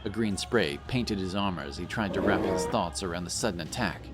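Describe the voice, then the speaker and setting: A man reading a scripted fantasy story as narrator, in a dramatic, solemn and measured style. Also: deep voice. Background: music.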